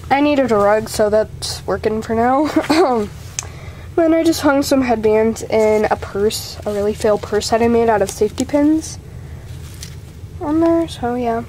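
A woman's voice: a little high, a little slow, a little mellow. A young woman giving a casual, rambling show-and-tell tour at home, giggly, self-deprecating and apologetic, recorded on a handheld camera's own mic. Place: small carpeted room at home. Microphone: handheld camera's built-in mic. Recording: noisy handheld amateur camera audio.

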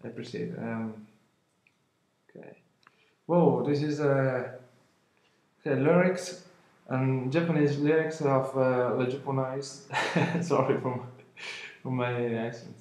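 Slow, halting Italian-accented young man. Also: mid-range voice, a little mellow, echoey room. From Italy. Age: 20s. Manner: halting, many fillers and restarts, apologetic. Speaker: vlogger. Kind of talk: casual unboxing vlog. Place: room at home, indoors. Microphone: webcam at arm's length.

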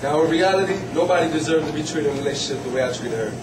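A teenage boy delivering a school PSA in a hallway, quick and direct. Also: on the high side, far from the mic. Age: teens. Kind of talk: student skit and PSA. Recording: echoey hallway off-mic with noise.

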